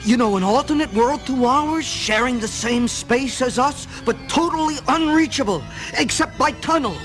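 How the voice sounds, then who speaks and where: An actor playing an earnest, naive younger-brother plumber in a radio play. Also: male voice, extremely high pitch, normal speed, fairly bright tone, faint music behind, rough recording. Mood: puzzled, speculating.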